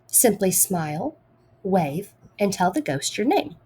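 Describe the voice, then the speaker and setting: Woman, children's storytime reader, mellow tone, unhurried pace, expressive read-aloud narration. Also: medium pitch, a little hiss or hum.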